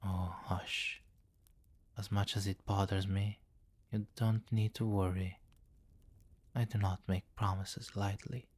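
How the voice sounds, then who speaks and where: Slow, low-voiced possessive vampire king played by a man. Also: a little mellow. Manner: formal, deliberate, commanding, with terms of endearment. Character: possessive, commanding vampire king, courtly and doting. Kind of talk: intimate ASMR fantasy roleplay.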